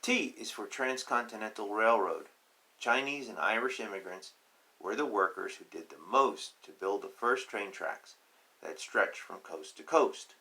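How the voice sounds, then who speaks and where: A man's voice: fairly low pitch, animated, a little slow, pushed and bright, poor audio. A man reading a children's picture book aloud, announcing letters emphatically and adding simple, teacherly explanations as he goes. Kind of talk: read-aloud with explanatory asides.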